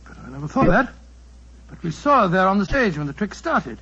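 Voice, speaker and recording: Loyal worried English gentleman, British accent, creaky, earnest, dull old radio recording. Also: high-pitched, sing-song, fairly quick, heavily acted. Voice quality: husky, creaky. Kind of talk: old-time radio drama acting.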